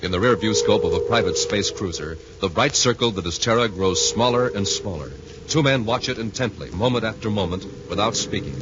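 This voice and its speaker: An actor serving as the measured, dramatic narrator of a 1950s space adventure radio serial. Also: male voice, on the low side, very noisy, natural voice. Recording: muffled 1950s radio, music behind.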